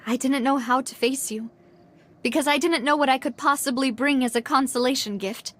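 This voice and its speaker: A high, youthful female game character, sincere and formal. She carries guilt and speaks with heartfelt resolve. A faint noise sits behind the voice. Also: moderate pace, a bit bright. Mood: guilty, sorrowful. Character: earnest, guilt-ridden young woman seeking the truth. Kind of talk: video game voice acting.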